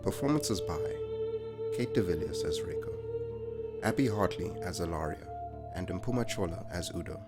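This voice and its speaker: A man's voice: sits low, huge pitch swings, normal speed. A man, the show's creator, reading scripted closing credits for an audio drama. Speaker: writer, director and sound designer reading credits. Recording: loud music under the voice. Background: loud new-age music.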